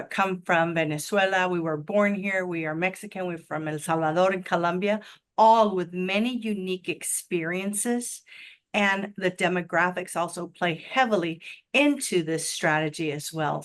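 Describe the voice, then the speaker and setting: A woman from Houston speaking confidently on a virtual panel, in a polished, speech-like way. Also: on the low side. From Houston, Texas. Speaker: business chamber president. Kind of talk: panel discussion over video call. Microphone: video call.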